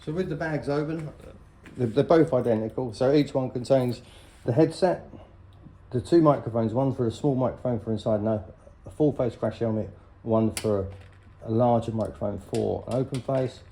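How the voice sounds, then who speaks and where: A man's voice: medium pitch, normal speed, warm tone, rough recording. A middle-aged man giving a casual, chatty, plain-spoken product review as a vlogger. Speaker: vlogger reviewing motorcycle gear. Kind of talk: casual unboxing and review talk.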